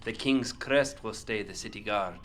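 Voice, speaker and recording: A man's voice: fairly low pitch, normal speed, a bit bright, close to an everyday voice. An actor playing a level-headed male leader in a fantasy audio drama, terse, formal and commanding. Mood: calm, firm. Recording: roomy long reverb, faint noise.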